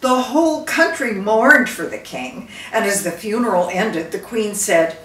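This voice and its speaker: Older woman reading a story, slow, roomy. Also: mid-range voice, big pitch swings, noisy background. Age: older, 60s or 70s. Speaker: storyteller, reader. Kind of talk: expressive read-aloud storytelling. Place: living room by a fireplace. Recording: roomy echo, distant microphone.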